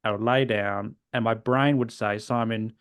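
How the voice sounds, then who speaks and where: An Australian man in his forties, a guest in a podcast interview, telling his own story earnestly and reflectively, often trailing off softly at the ends of phrases. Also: lowish voice, steady tone, normal speed, no echo, studio quality. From South Australia. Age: 40s.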